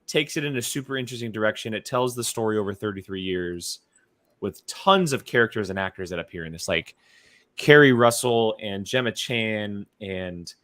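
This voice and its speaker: A man co-hosting a talk show, chatting casually in a halting way with repeated phrases. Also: fairly low pitch, fairly bright tone, no room sound. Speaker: co-host of a movie talk show. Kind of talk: casual chat.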